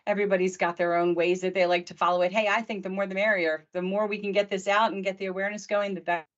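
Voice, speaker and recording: Excitable woman hosting a video call. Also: medium pitch, quick pace, highs cut off. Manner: excitable, chatty, talks over guests. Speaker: host. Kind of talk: live video Q&A.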